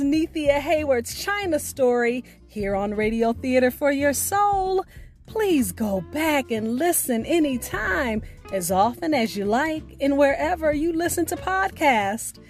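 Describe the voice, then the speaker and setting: High-pitched woman podcast host, slow and deliberate. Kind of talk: scripted podcast sign-off and announcements.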